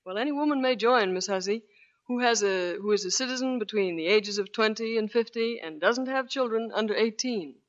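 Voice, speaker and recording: A woman's voice: fairly high pitch, brisk. Woman officer on muffled 1940s radio. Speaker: Navy officer, radio guest. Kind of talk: scripted 1940s radio interview. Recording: dull lo-fi 1940s radio recording.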